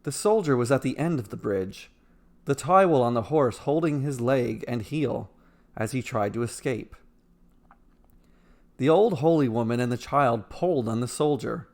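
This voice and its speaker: A male storyteller reads a scripted fantasy tale. His voice sits at a medium pitch, and his delivery is measured and dramatic. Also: expressive, darkish tone.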